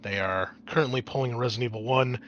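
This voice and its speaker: A man's voice: mid-range voice, quick pace, a bit bright, highs cut off, a little hiss or hum. A man running a tabletop game as game master, narrating in a casual way with some hesitant phrasing.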